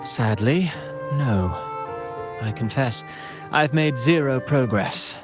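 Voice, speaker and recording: A theatrical gentleman playwright from a video game. He speaks in a medium register with flowery, courtly flourishes and dramatic pauses. Clear music plays behind him. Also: medium pitch, expressive. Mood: wistful, theatrical. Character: courtly, theatrical male playwright, self-styled humble.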